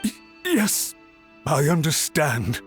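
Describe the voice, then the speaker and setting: A man's voice: fairly high pitch, sing-song, takes it slowly. A man voicing a remorseful, humbled government official in a video game, speaking formally and deferentially. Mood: anxious, submissive. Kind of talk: scripted video game voice acting. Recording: music under the voice.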